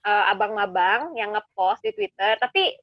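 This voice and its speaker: A woman's voice: medium pitch, animated, moderate pace, no echo, muffled recording. A young woman from Indonesia co-hosting a campus podcast in casual chat, thinking aloud with frequent fillers. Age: young adult. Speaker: podcast co-host.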